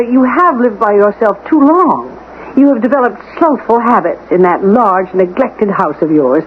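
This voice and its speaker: Actress as a brisk housekeeper, tinny old radio. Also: female voice, a little high, a little hiss or hum, lightly acted. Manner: poised, formal and persistent. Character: brisk, self-assured, managing housekeeper to a gentleman. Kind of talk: 1970s radio drama acting.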